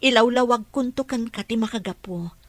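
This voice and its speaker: A fairly high-pitched, earnest caregiver played by a woman with an Ilocano accent. She is a protective mother who speaks with polite deference in a radio drama. The sound is close and very dry. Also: brisk, no echo, lightly acted. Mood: steady, serious.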